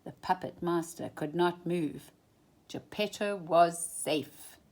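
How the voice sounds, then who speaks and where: A woman storyteller reading a children's storybook expressively, with deliberate, dramatic emphasis. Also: fairly low pitch, darkish tone.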